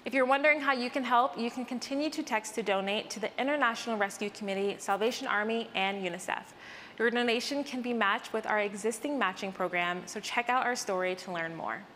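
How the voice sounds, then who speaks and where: Higher-voiced American woman presenting news, reverberant. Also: brisk, a bit bright, a little hiss or hum. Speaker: news host. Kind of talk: scripted news update narration.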